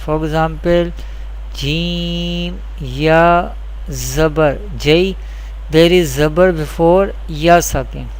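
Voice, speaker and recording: A teacher giving a scripted Quran recitation lesson, explaining deliberately through repeated questions and answers, with spelled-out examples. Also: mid-range voice, fairly even intonation, rough recording.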